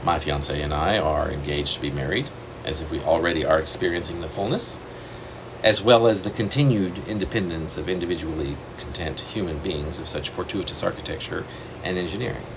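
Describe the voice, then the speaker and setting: A man reading his own poem aloud in a measured, reflective way, pausing deliberately between phrases. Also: deep voice. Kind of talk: poetry reading. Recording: steady air-conditioner hum behind the voice.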